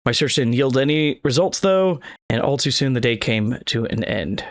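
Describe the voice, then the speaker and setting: A man's voice: mid-range voice, lively intonation, moderate pace. A man doing a casual video game playthrough, reading the text aloud with stumbles and wry asides. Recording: game music playing behind the voice. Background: video game music.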